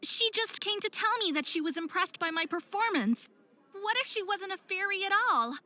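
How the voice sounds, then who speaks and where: A woman's voice: very high voice, expressive, normal speed, pushed and bright, full character voice. A young woman voicing a hot-headed, distraught young faerie skater in a visual novel game, with a dramatic, emphatic delivery. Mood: upset. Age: young adult.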